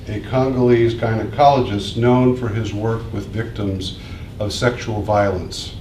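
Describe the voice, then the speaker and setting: A man from the Midwest giving a prepared speech as an invited speaker, measured and pausing often. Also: on the low side, darkish tone, a little hiss or hum. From American Midwest. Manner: measured, reads from prepared remarks with pauses. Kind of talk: prepared speech at a public event. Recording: echoey room, mic at a distance.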